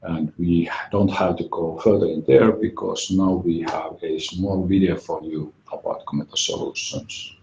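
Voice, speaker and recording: A man hosting a webinar, speaking haltingly with repeated words and pauses. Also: sits low, fairly even intonation, gravelly, a little mellow, muffled recording. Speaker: webinar host, software company representative. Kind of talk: webinar presentation. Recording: echoey room, faint music.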